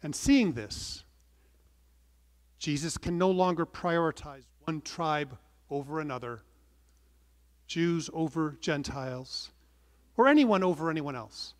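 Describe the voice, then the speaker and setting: A middle-aged man preaching a sermon from the pulpit, earnest and measured, stressing some words and letting phrase endings fall soft. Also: fairly high pitch, expressive. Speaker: pastor. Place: large wood-panelled church, indoors. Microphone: pulpit microphone. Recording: long church reverb.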